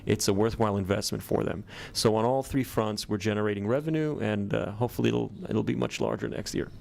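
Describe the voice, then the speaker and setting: A middle-aged man with an American accent, a startup founder answering interview questions in a measured way with many ums and uhs. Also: lowish voice, gravelly, darkish tone. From born in Israel, grew up in the US.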